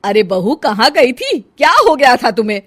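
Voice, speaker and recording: A woman's voice: fairly high pitch, sing-song, moderate pace. A woman playing a bossy, suspicious middle-aged mother-in-law in a family story, scolding and firing exclamatory questions. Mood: scolding, demanding. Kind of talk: dramatized Hindi family storybook acting.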